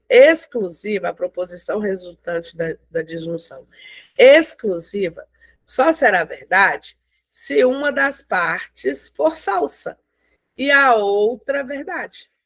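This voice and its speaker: A woman's voice: mid-range voice, gravelly, slightly rough, no echo. A woman teaching a math lesson, explaining step by step and often checking in with her listeners. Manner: explains slowly with frequent check-ins. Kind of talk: classroom lecture.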